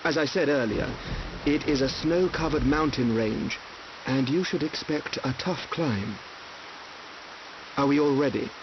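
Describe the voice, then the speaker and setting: A man's voice: medium pitch, measured pace, long pauses, natural voice. A man playing a composed, learned noble guide in a video game, with formal, precise, reserved speech. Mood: calm, instructive. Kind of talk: video game cutscene acting. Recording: dull, slight hiss and noise.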